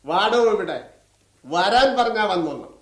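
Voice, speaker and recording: A man's voice: high voice, lively intonation, unhurried. An actor playing a stern elderly father and family patriarch in a radio drama, commanding, with a southern Kerala Malayalam accent. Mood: commanding anger. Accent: Kerala Malayalam, southern Travancore. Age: older. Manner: commanding, peremptory. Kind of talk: mid-century Indian radio drama acting. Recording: roomy, echoing radio studio sound.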